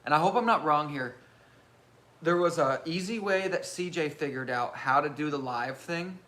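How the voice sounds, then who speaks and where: A man in his thirties with a loud voice, hosting a casual live question-and-answer chat and rambling with self-mocking humor. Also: fairly high pitch, normal speed. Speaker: channel host.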